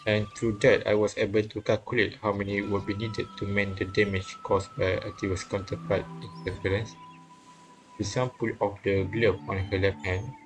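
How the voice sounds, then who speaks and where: A man reading and translating a visual novel aloud, haltingly, often correcting himself and repeating phrases. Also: lowish voice, level tone, poor audio. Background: game music.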